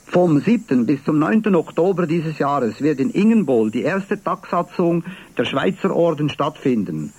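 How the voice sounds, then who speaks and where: A man from Valais with a Swiss accent, presenting church news on the radio in a formal, measured reading. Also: fairly high pitch, sing-song, darkish tone, no echo, low-quality recording. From Valais, Switzerland. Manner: formal, measured, clear delivery.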